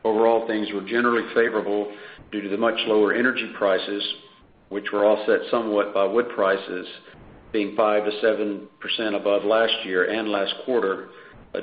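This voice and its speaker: Male executive, lowish monotone delivery of financial results, businesslike, on a dull conference line with faint noise. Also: normal speed, echoey room. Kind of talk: prepared earnings call presentation. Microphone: conference call line.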